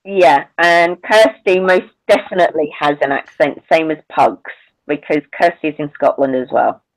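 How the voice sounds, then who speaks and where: A woman's voice: sits low, normal speed, poor audio. A woman chatting casually on an online panel, hesitant, often restarting her sentences and trailing off. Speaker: participant in an online panel chat. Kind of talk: casual chat.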